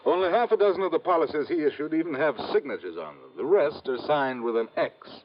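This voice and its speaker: Snobbish, fussy radio-drama gentleman. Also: a little high, expressive, fairly quick. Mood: disdainful. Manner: prim, disdainful, formal. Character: snobbish, fussy Harvard-educated Boston man in a small-town office. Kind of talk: 1950s radio drama acting. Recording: dull old radio recording.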